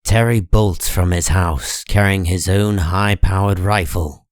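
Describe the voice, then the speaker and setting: A man narrating a scripted comic story in a measured, deliberate dramatic style. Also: low voice, fairly even intonation, no echo, clean studio sound.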